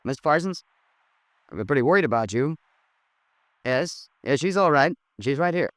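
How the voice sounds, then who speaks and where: An actor playing a courteous American police sergeant, brief and steady, with an official manner in 1950s radio drama style. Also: male voice, on the high side, moderate pace, lightly acted. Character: brisk, courteous male police sergeant on missing persons.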